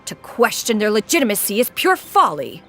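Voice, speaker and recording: Haughty immortal lady, very expressive. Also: mid-range voice, fairly quick, soft background music. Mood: indignant, haughty. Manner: formal, archaic, imperious diction. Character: haughty ancient crane immortal posing as an elegant woman. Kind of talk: scripted video game voice acting.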